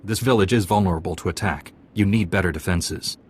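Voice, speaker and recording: A man's voice: low-pitched, brisk, slightly rough. A man playing an earnest young Jedi apprentice in a video game, speaking politely and practically, with an inquisitive manner. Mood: earnest, inquiring.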